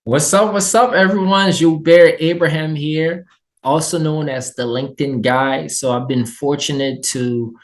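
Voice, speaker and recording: A man's voice: fairly high pitch, steady tone, measured pace. Upbeat man with a Haitian accent. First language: Haitian Creole and French. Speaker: guest. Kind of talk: casual interview storytelling.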